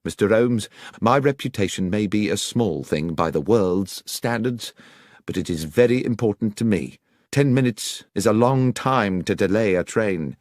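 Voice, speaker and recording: A cautious male railway station master acted by a man in a detective storybook. He is deferential and hesitant, with a pitch that moves about a lot, heard dry and close. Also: expressive, moderate pace, darkish tone, dry recording, close to an everyday voice. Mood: reluctant.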